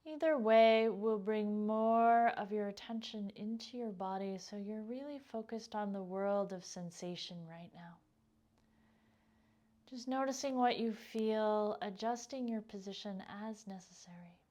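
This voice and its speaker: A woman leading a guided meditation as a yoga teacher, speaking gently and without hurry, her phrases trailing off softly. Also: mid-range voice, unhurried.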